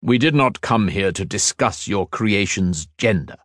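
Male reader as a deep baritone council judge, firm and cold, slow, dry. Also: animated, a bit bright, dry recording. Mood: stern. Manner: firm, cutting, measured.

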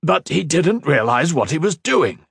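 Male narrator voicing a high, strained, pleading scientist, lively pitch, slow, dry close read. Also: creaky, husky, no room sound, heavily acted. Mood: desperate. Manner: pleading, strained, rising to outbursts. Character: anguished male scientist pleading for his creation.

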